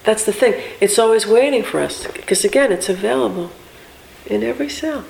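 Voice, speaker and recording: A woman with a big voice giving an informal, reflective spiritual teaching in drawn-out, unscripted phrases with pauses. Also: medium pitch, animated, vocal fry, a little mellow. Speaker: meditation and chanting teacher. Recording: echoey room with faint background noise.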